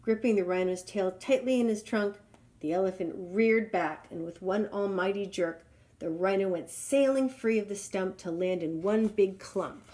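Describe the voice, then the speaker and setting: A woman's voice: mid-range voice, normal speed, dark tone. A woman reading a children's storybook aloud expressively. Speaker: storybook reader.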